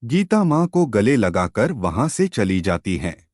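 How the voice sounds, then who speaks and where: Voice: mid-range voice, sing-song, unhurried, a little mellow, dry recording, studio quality. A narrator reading a scripted moral story.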